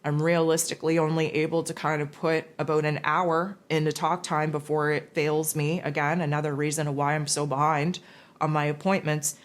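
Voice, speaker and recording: A raspy, hoarse woman hosting a spiritual forecast, talking in a loose, rambling casual monologue. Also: sits low, little pitch movement, normal speed. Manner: rambling, loose, with many fillers and restarts. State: hoarse from losing her voice.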